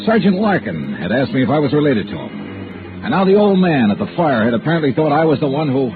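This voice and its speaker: An actor playing a tough, wry American insurance investigator with clipped, probing delivery in a 1950s radio noir drama. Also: male voice, mid-range voice, very animated, a little fast, over music. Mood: wry narrating. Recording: tinny, narrow old radio recording.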